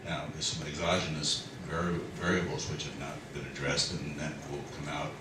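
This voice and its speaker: A man's voice: rumbling low, monotone, normal speed. A man, a planning consultant, explaining figures at a public meeting in a loose, explanatory way with fillers. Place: community hall. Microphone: distant room mic. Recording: echoey hall from far off, noisy.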